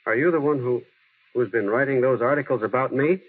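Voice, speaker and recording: An actor playing an earnest, shy, plain-spoken small-town man, halting and sincere, in a vintage radio drama. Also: medium pitch, slightly rough, lightly acted. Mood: hurt, bitter. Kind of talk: 1930s-40s radio drama acting. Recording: muffled, lo-fi old radio recording.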